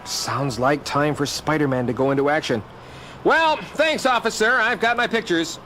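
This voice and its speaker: A man playing a young, wisecracking superhero in an audio drama, earnest and animated. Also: on the high side, moderate pace, background noise, close to an everyday voice. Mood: brisk. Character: young wisecracking male superhero and news photographer.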